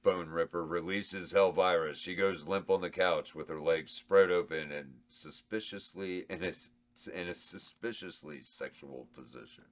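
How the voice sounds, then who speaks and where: A man's voice: sits low, moderate pace, hardly any pauses. A man reading a comedy horror script's stage directions aloud in a matter-of-fact tone at a table read.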